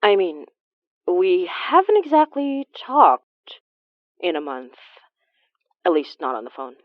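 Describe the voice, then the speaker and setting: Woman acting as guarded investigator lady, awkward n dry, lowish voice, really muffled audio. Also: sing-song, slow, dry recording. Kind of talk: naturalistic audio drama acting.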